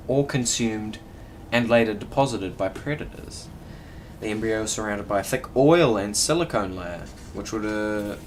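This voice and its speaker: Low-voiced man over clear background noise. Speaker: video game player. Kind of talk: casual gameplay commentary, reading game text aloud. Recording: clearly noisy recording with background hum.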